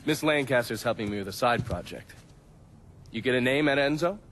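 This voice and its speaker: An actor playing a sardonic, foul-mouthed government agent in a video game, blunt and sarcastic. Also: male voice, medium pitch, brisk, background noise, natural voice. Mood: dry, scornful. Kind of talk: dramatic video game dialogue.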